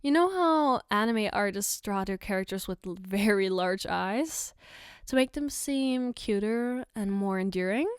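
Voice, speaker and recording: A woman playing an affectionate, gently teasing girlfriend in a soft-spoken ASMR roleplay, tender and reassuring. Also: mid-range voice, expressive, smooth, dry recording, studio quality, natural voice. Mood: gentle, attentive. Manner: tender, reassuring, playfully coaxing, unhurried. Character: affectionate, gently teasing girlfriend doing her partner's makeup.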